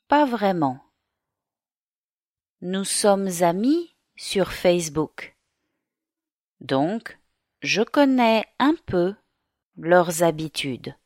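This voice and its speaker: A woman reading the part of a young Englishwoman heading to work as an au pair, in a slow learner's dialogue. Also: mid-range voice, a little mellow, dry recording, clean studio sound. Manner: slow, carefully articulated reading.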